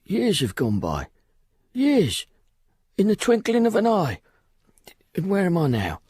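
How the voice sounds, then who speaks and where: Husky, bewildered old man. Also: sits high, wildly swooping pitch, a little mellow, no echo, clean studio sound, heavily acted. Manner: wondering, halting, repetitive. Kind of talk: radio drama acting.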